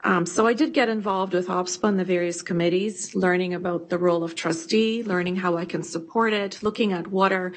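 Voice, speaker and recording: A middle-aged woman, a school board trustee, introducing herself at a board meeting in a measured, reflective way with some hesitations. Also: fairly low pitch, fairly even intonation.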